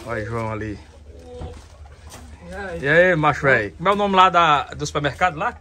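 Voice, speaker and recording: A man's voice: fairly high pitch, animated, a little fast, pushed and bright. A man, vlogging outdoors in a chatty way and laughing often. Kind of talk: casual vlog narration. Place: outdoors, rural sertão and car.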